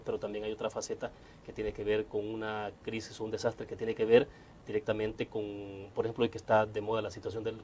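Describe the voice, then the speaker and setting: A man's voice: fairly low pitch, darkish tone, a little hiss or hum. A man, hosting an interview in a conversational, roundabout way. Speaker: interview host.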